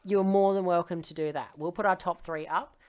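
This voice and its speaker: A woman's voice: lowish voice, steady tone, fairly quick, clear, resonant tone, highs cut off. A woman co-hosting a podcast in casual chat, with dry, teasing humour. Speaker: podcast co-host.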